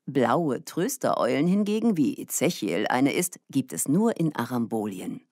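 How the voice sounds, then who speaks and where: A man's voice: medium pitch, sing-song, normal speed, darkish tone, no echo, clean studio sound. A man reading scripted narration for children as a storyteller.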